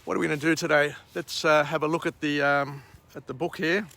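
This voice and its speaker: A middle-aged Australian man with a croaky voice after a night out, hosting a rambling outdoor morning vlog and book summary at a vineyard. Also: fairly high pitch, little pitch movement, fairly bright tone. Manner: slow, rambling, frequent uh and um. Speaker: vlog host.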